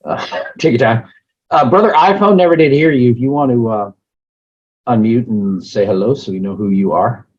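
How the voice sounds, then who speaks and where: A hoarse man hosting an online meeting, chatting in a rambling way with lots of fillers. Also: mid-range voice, normal speed. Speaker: meeting host. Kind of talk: casual meeting opener. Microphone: computer mic on a video call. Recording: dull, muffled video call audio.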